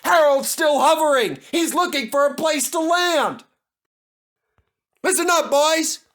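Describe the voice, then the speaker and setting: Jubilant male fireman, as a storyteller puts him on, loud calling voice, pitched high, storybook. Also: unhurried, exaggerated voice. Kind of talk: children's storybook character voice.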